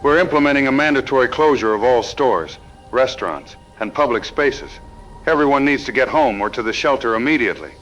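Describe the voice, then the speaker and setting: An actor playing an earnest town official giving emergency instructions in an audio drama, formal, orderly and grave. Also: male voice, medium pitch, animated, fairly quick, fairly bright tone, soft background music, lightly acted, rough recording. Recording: faint noise behind voice.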